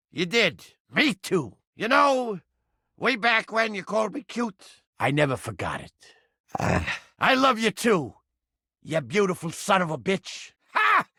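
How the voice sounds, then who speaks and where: A crusty little mouse trap from a video game, brash and streetwise. The voice is piercing and strident, leaping up and down in pitch, recorded very dry. Under the surly bluster sits a soft heart. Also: male voice, breathy edge, no room sound, full character voice. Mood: gruffly affectionate. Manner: brash, yelling, streetwise slangy wisecracking. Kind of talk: video game character acting.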